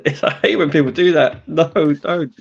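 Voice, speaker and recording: A man's voice: a little high, moderate pace, creaky, breathy edge, dull audio. An English man in his late thirties, chatting as co-host in an easygoing, chatty way. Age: 30s. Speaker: podcast co-host. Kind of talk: casual chat.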